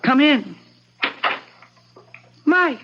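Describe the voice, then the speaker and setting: A torn but willful married woman with a gambling habit in old-time radio drama style. Her delivery is dramatic and widely varied in pitch. The sound is dull and lo-fi, with loud music. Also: expressive, drawn-out words, exaggerated voice. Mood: poised.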